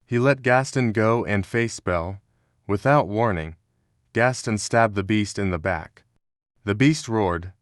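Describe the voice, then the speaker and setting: A man narrating a scripted children's story in a measured, dramatic storytelling manner. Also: on the low side, dry recording, studio quality.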